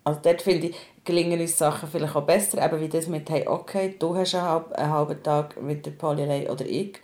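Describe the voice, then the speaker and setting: A woman's voice: sits low, fairly even intonation, quick pace, darkish tone. A woman with a Swiss German accent talking candidly and casually, in colloquial words, as a podcast host. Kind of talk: casual personal conversation.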